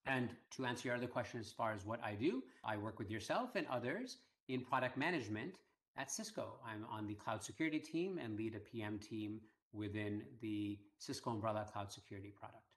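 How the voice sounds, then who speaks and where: Soft-spoken man telling his story. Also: lowish voice. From Iran. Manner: measured, with frequent fillers. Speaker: guest. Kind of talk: conversational interview.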